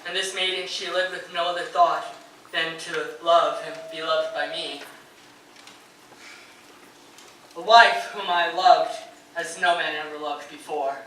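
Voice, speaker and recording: A man's voice: fairly high pitch, fairly even intonation, normal speed, pushed and bright. A man playing a grief-stricken, nervous poet mourning his wife, reading fervently and theatrically on stage. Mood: mournful, intense. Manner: fervent, declamatory, swelling into anguished outbursts. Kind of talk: theatrical dramatic reading of poetry and letters. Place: live performance in a radio station's performance room. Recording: very echoey live room, distant mic, faint noise.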